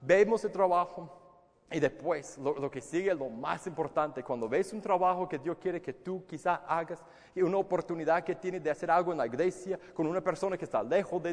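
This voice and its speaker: A male preacher whose first language is English gives an earnest, emphatic sermon with a somewhat high voice. He often repeats words while he searches for the next one. His voice carries a long reverb, as if from a large hall. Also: brisk, a little mellow. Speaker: pastor.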